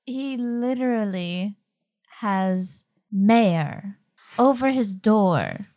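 A woman's voice: medium pitch, expressive, very slow, warm tone, no room sound. A woman as game master, improvising narration and dialogue for a tabletop game in a hesitant, matter-of-fact way.

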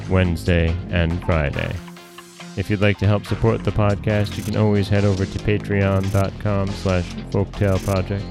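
A man hosting a storytelling podcast, narrating in a scripted folktale style. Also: low-pitched.

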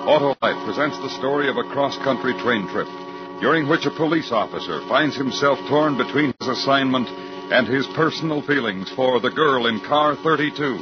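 Dramatic mid-pitched male radio announcer. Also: normal speed. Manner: formal, dramatic. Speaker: program announcer. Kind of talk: 1950s radio show introduction. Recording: dull vintage radio broadcast with music.

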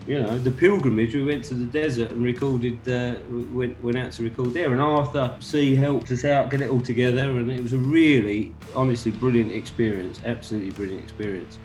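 A man's voice: mid-range voice, fairly quick, few pauses, slight background noise, rough recording. A man from England, a band member guest, telling stories about his band in a casual interview. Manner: animated anecdotes, quotes others.

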